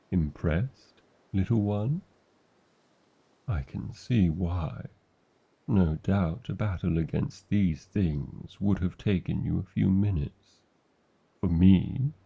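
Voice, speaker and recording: A man's voice: low-pitched, creaky, husky, muffled recording, full character voice. A man with a British accent voicing a huge, proud, protective dragon lover in a fantasy ASMR roleplay, speaking in formal, theatrical phrases with tender endearments and wry disdain.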